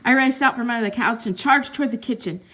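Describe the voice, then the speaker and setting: A woman reading a children's book aloud as a storyteller, with expressive narration. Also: fairly high pitch, fast talker. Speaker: story reader.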